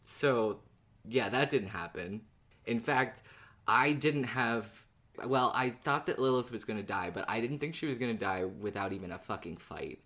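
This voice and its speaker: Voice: medium pitch, expressive, normal speed, fairly bright tone. A co-host chats in a casual fan discussion on a podcast. The talk is informal and conversational.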